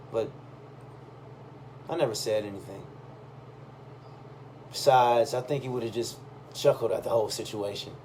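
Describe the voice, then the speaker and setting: A man reading a first-person story aloud in a conversational, expressive way. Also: medium pitch. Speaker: reader of a personal chronicle. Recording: clearly audible background noise.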